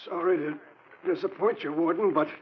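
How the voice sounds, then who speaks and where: A man's voice: fairly high pitch, a little fast, close to an everyday voice. An actor playing a ruthless, cocky gangster boss with a commanding, tough-guy manner in a vintage radio drama. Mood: gloating, taunting. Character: cocky, ruthless male gangster boss who cannot be killed. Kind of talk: 1940s radio drama acting. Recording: muffled, noisy vintage radio recording.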